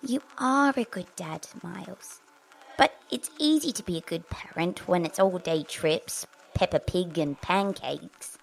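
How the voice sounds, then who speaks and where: A woman playing a calm, knowing, mysterious presence who gently consoles, with a creaky voice, in an audio drama. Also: lowish voice, measured pace, low-quality recording. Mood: gentle, knowing.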